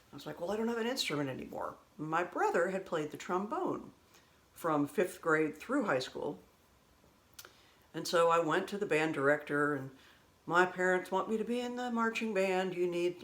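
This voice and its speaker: A woman with a low voice telling rambling stories in a casual, chatty way, laughing now and then. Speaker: vlogger. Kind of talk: casual storytelling.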